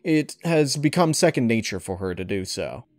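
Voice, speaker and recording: Male interviewee, mid-pitched, dry close sound, formal and deliberate phrasing, articulate. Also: big pitch swings, no room sound. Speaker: channel host and audio story reader being interviewed. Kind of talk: thoughtful interview answers.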